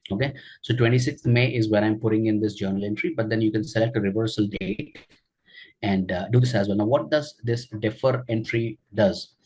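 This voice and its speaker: A man teaching a software tutorial during a screen share, explaining each step with frequent fillers and check-ins. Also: on the low side, a little fast, husky, rough recording. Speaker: software trainer.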